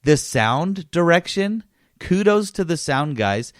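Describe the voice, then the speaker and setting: A man co-hosting a gaming podcast, telling stories in casual chat with animated, emphatic repetition. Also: a little high, takes it slowly, slight background noise. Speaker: gaming podcast co-host and reviewer. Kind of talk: casual chat, game review.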